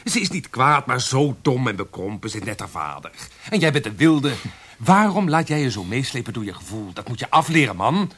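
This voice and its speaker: An actor playing a young well-born gentleman in a Dutch historical radio drama, sociable and frank, chiding a friend. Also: medium pitch, big pitch swings, fairly quick, husky. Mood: exasperated, advising.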